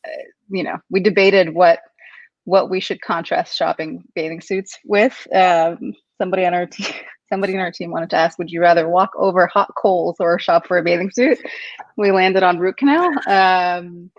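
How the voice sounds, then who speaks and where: Low-voiced woman on a dull, dry line. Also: steady tone, normal speed, no room sound. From Kuwait. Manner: thoughtful, upbeat, frequent ums and you-knows. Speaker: company founder and CEO, guest. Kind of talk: casual interview conversation.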